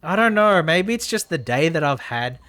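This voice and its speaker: A man's voice: on the high side, sing-song, moderate pace, fairly bright tone, no room sound. A man chatting casually through a live game stream, repeating phrases for emphasis, his voice a little tired and strained.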